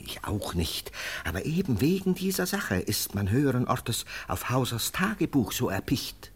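A man playing a stern, sceptical police lieutenant in a radio drama, brisk and authoritative. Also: medium pitch, sing-song, slight background noise, lightly acted. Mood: confidential, scheming. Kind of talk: German radio play acting.

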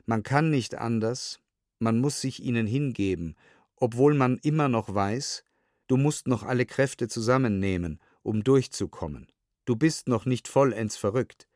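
Guy narrating audiobook docs, medium pitch, sober and deliberate, very dry close mic. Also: a little mellow, dry recording. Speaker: narrator reading historical documents. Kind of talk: scripted documentary audiobook narration.

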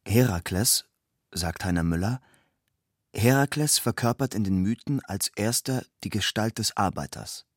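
Male narrator, low register, measured literary delivery, very dry recorded sound. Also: lively intonation, no room sound. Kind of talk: literary narration, quoting and storytelling.

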